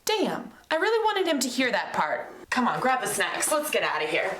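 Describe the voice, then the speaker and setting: A woman's voice: fairly high pitch, huge pitch swings, moderate pace. A woman playing a scheming, flippant lover in a comedy audio drama, gloating in a mock-sweet, melodramatic way. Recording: echoey room, faint noise.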